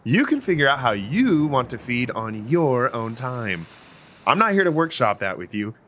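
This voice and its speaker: An actor playing a cocky, callous vampire mentor in an audio drama, with a flippant, sarcastic way of talking. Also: male voice, medium pitch, sing-song, moderate pace, a bit bright, slight background noise. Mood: brash, dismissive.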